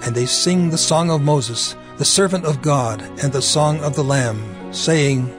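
A man narrating dramatized scripture in a solemn, deliberate manner. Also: on the high side, over music.

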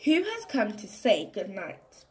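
A woman reading a children's picture book aloud in an expressive, deliberate, sing-song way. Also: mid-range voice. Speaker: storybook reader for children.

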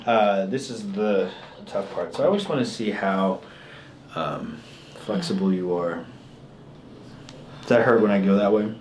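A man's voice: lowish voice, unhurried, pauses often, darkish tone. A man working as a chiropractor, talking casually and reassuringly to his patient as he treats them. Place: indoor treatment room. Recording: noisy room with ambient sound.

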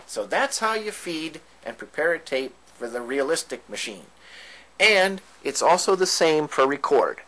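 A man's voice: on the high side, sing-song, unhurried, a bit bright, low-quality recording. A man giving an informal hands-on equipment tutorial, explaining each step as he goes. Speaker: demonstrator of vintage tape equipment. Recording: background noise on home video.

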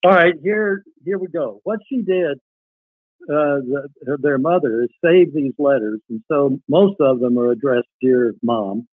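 A man's voice: medium pitch, animated, measured pace, husky, no echo. An older man being interviewed, talking and reading old letters aloud. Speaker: podcast guest reading a relative's wartime letters. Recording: tinny, phone-like call audio.

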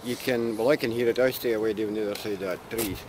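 An older man with a Scottish accent, a local farmer chatting casually outdoors, plain-spoken and trailing off quietly. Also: lowish voice, fairly even intonation, quick pace, poor audio. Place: outdoors on a farm road at dusk. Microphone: handheld camera mic. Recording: outdoor camcorder audio with background noise.

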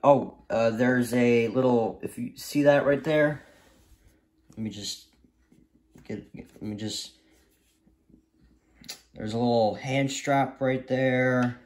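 A man's voice: mid-range voice, steady tone, takes it slowly, long pauses, darkish tone. A young American man talking casually and off the cuff, rambling hesitantly and repeating himself as he shows things to the camera. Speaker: hobbyist video maker. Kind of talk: casual unscripted show-and-tell. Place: small indoor room at home. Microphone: phone camera held by speaker. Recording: echoey room, handheld camera.

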